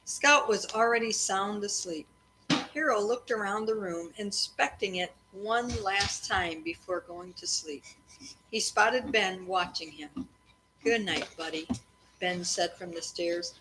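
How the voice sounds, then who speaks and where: Female read-aloud storyteller, mid-pitched, conversational with young listeners, some background noise. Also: measured pace. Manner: breaks off to address and question children.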